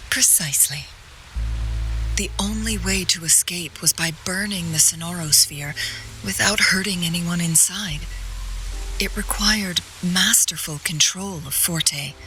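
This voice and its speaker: Game strategist, composed and courteous, lowish voice, crisp bright edge, measured formal delivery. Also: female voice, faint music behind, low-quality recording. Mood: composed, explanatory. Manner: poised, measured, formal storytelling. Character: composed, courteous female strategist and former disciple, gently teasing. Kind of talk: video game story dialogue.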